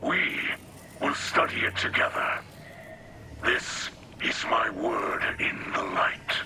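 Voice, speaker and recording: Man voicing a slow, whispery alien warrior captain. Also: close to an everyday voice. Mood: grave, hopeful. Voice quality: breathy, whispery rasp. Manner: slow, formal, deliberate phrasing. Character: solemn, noble alien warrior captain leading his refugee people. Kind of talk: dramatic game cutscene acting. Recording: loud noise behind the voice.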